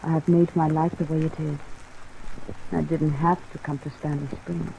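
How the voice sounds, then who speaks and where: An actress playing a gentle, guarded woman with a troubled past, soft and earnest in 1950s radio style. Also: low voice, little pitch movement, normal speed, gravelly. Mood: guarded, wistful. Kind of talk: 1950s radio drama acting. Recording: muffled old radio recording under rain noise.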